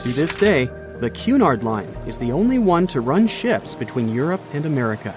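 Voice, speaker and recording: A man's voice: medium pitch, very animated, normal speed. A man reading scripted documentary narration as the narrator. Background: background music.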